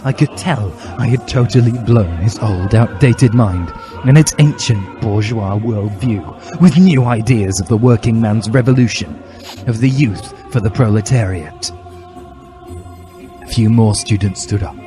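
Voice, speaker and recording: A man's voice: fairly low pitch, measured pace. A man giving a dramatic, mock-serious reading of comic fan fiction with theatrical emphasis. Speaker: reader. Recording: music behind the voice.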